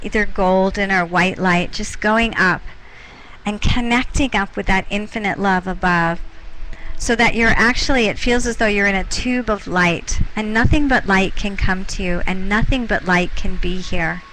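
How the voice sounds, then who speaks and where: A woman leading a guided meditation as a teacher, speaking in soothing, unhurried short phrases with pauses. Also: mid-range voice, a bit bright, a little hiss or hum, poor audio. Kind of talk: guided meditation, softly instructing.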